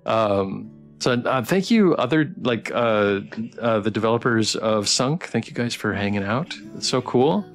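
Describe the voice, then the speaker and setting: A man's voice: on the low side, lively intonation, unhurried. A man hosting a casual livestream interview, speaking hesitantly and with effusive appreciation. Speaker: livestream host interviewing game developers. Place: online voice call on a livestream. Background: guitar music playing softly.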